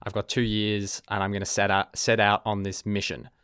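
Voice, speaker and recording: A young Australian man hosting his own podcast, talking casually and thoughtfully, often pausing with ums and correcting himself as he goes. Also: fairly low pitch, monotone, a bit bright, clean tone, no echo, studio quality. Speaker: podcast host. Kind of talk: casual solo podcast talk.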